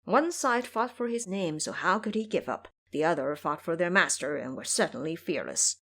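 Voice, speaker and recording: Animated woman narrating an adventure tale. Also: on the low side, normal speed. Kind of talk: dramatic scripted storytelling narration.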